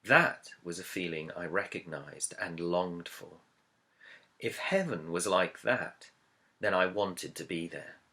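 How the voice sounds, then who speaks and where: A man, an author, reading his own book introduction as scripted narration in a measured, earnest, reflective way. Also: low-pitched, pushed and bright. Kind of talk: scripted audiobook-style narration.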